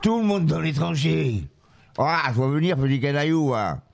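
A man's voice: a little high, expressive, normal speed, gravelly. A man joking around and teasing people in a radio studio, clowning around playfully.

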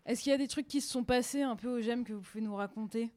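A woman's voice: fairly high pitch, fast. A young woman hosting a community radio show from a shared center room, chatting casually and steering the conversation. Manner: relaxed, chatty, leads the talk. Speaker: radio host.

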